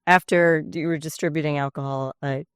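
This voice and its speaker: A woman hosting a podcast interview, chatty and jokey with quick reactions. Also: deep voice, steady tone, smooth, no room sound, clean studio sound. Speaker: podcast host.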